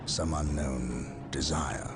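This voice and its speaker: Deep-voiced, stern space marine commander. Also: male voice, fairly even intonation, slow. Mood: grave, reflective. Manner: formal, authoritative military diction. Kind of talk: video game cutscene narration and dialogue. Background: game soundtrack music.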